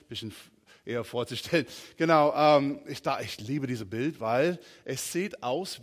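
A middle-aged man from the United States, a native English speaker with an American accent, preaching a sermon informally and haltingly, searching for words. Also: medium pitch. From southern and western United States. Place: large reverberant room, preaching to a congregation. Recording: reverberant hall.

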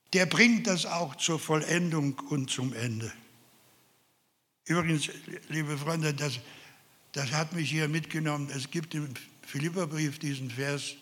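Older male preacher, slow and earnest, slightly high voice, reverberant hall. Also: a bit bright. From Carpathian Ukraine. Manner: earnest, emphatic, addresses listeners directly. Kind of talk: sermon.

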